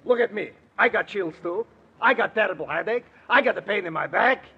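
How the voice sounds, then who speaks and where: A man playing an excitable, complaining old European professor with a husky voice in a 1940s radio comedy. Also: high voice, brisk, full character voice. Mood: scolding, self-pitying. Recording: dull vintage radio recording.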